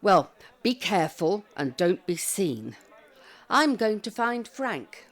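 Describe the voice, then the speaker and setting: A fussy older British busybody, with a very lively, swooping delivery. She is brisk and bossy in an audio comedy drama, at a medium pitch for a woman. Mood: fussy, exasperated. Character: bossy, fussy, chirpy elderly woman care-home resident.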